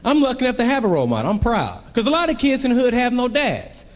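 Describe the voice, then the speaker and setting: A young man performing a stand-up comedy set on a club stage, telling animated stories and acting out characters. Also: very high voice, quick pace. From Columbus, Ohio. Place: comedy club stage before a laughing crowd. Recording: live club recording with crowd laughter and noise.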